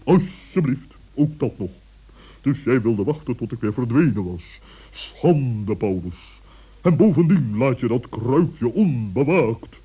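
A man playing a stern, bossy old owl in a children's radio play, pompous and reproving with drawn-out emphasis. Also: medium pitch, expressive, breathy edge. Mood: indignant. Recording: dull vintage radio recording.

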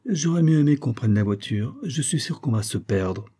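A man's voice: mid-range voice, expressive, moderate pace, warm tone, slightly rough, voice pushed up. A man voicing a stubborn, nagging tourist wife in a plaintive, exclamatory manner. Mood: weary complaint.

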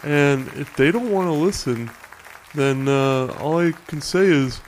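A man's voice: on the high side, a little slow, close to an everyday voice. A man playing a defensive, put-upon fellow in a comic radio bit, hesitant and self-justifying. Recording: faint crowd and background noise.

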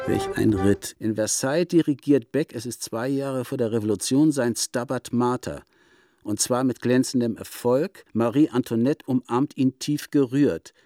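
A man's voice: medium pitch, warm tone, no echo, clean studio sound, natural voice. An actor playing an erudite male letter-writer in a radio play, telling an elaborate tale with eloquent precision. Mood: dramatic relish. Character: erudite male correspondent spinning elaborate music-history theories. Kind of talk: literary radio play monologue, letter read aloud. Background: music.

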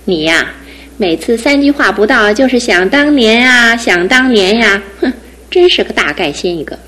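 A woman's voice: fairly high pitch, animated, a bit bright. An actress voicing an independent, teasing young female student about to graduate, in a studio radio drama. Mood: playful teasing. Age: young adult. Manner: teasing and brisk, then tender. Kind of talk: 1960s-70s studio radio drama acting.

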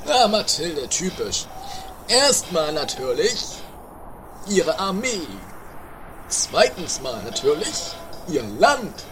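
A man playing an excitable, scheming squabbler with a theatrical, pompous manner in an amateur comedy radio play. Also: a little high, takes it slowly. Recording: noisy 1980s homemade radio play recording.

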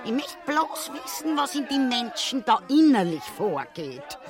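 An actor with an Austrian accent playing an appalled, excitable onlooker in a farcical radio play. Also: male voice, very high voice, measured pace, heavily acted. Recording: music and crowd behind.

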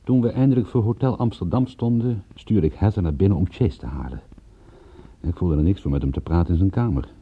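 An actor playing a wry, weary private detective in a radio drama, speaking in a dry, sardonic and understated way. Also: male voice, deep voice, expressive, brisk, husky, full character voice. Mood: sardonic narration. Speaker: actor playing narrator and lead. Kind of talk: radio drama acting and first-person narration. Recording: muffled, thin phone-like radio recording.

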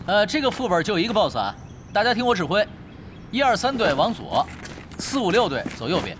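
A shrewd, swooping-voiced gamer leader. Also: male voice, high-pitched, sing-song, a little hiss or hum. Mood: commanding. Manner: unhurried teasing in talk, commanding when giving orders. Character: laid-back, shrewd veteran online gamer and raid leader. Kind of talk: Chinese audio drama acting. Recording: studio drama with loud music behind.

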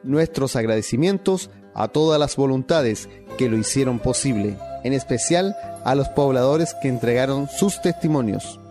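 A man's voice: medium pitch, lively intonation, moderate pace. Male radio announcer over music. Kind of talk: scripted radio drama credits. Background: music with flute.

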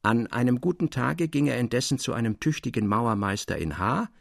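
A man narrating a classic literary tale in a measured, articulate way with expressive emphasis. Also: medium pitch, creaky, dry recording, clean studio sound.

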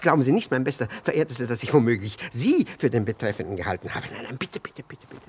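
An actor playing a flustered, over-polite jealous husband in a 1960s German radio play, stammering, repeating words and breaking off mid-sentence. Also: male voice, medium pitch, very animated, quick pace, slight background noise, natural voice. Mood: flustered, placating.

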